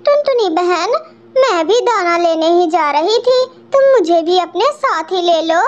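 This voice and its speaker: Cartoon crow, performed by a woman: very high voice, pushy, sneaky, greedy and boastful. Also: expressive, a little slow, highs cut off. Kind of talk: Hindi cartoon story dubbing.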